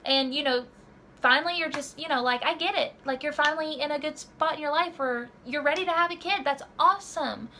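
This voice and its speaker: A woman's voice: sits high, brisk, pushed and bright, slight background noise. A woman in her early twenties chatting casually to her camera at home, rambling with many fillers and restarts. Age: 20s. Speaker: vlogger. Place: home room.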